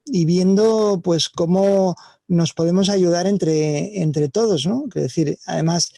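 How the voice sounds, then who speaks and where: Panelist, male, Spain Spanish accent, gravelly and dark, halting, dry sound. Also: high voice, dry recording. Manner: halting, reflective, many restarts. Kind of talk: online panel talk. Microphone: video call.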